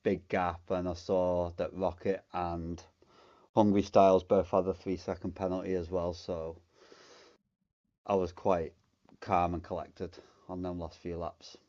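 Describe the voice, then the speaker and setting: Deep-voiced man, winning sim racer. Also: little pitch movement, measured pace, warm tone, dull audio. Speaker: race winner interviewed. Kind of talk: casual post-race interview.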